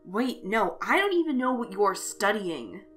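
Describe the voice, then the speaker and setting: A woman's voice: mid-range voice, big pitch swings, measured pace, a bit bright, soft background music. A woman voicing a surly, flustered young male college student who talks casually and defensively in an audio story.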